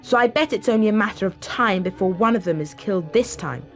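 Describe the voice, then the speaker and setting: Woman as sneering, animated schoolboy bully. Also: medium pitch, quick pace, music in the background, close to an everyday voice. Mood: sneering, malicious. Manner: sneering, contemptuous. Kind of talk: video game voice acting.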